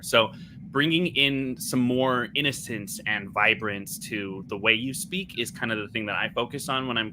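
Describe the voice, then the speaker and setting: A man's voice: medium pitch, noisy background. A man with a youthful-sounding voice, speaking reflectively as a voice actor on a casual video-call panel. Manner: reflective, earnest, with restarts.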